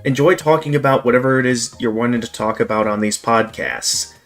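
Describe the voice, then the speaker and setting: Guy hosting a game stream, middle voice, calm and measured, faint music under. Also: medium pitch. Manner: measured, lots of "like" and "again". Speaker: streamer host. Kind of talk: casual livestream chat.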